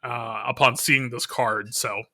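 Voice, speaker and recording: A man hosting a casual interview podcast, relaxed, tossing out quick questions. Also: medium pitch. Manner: relaxed, tosses quick rapid-fire questions. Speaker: podcast host.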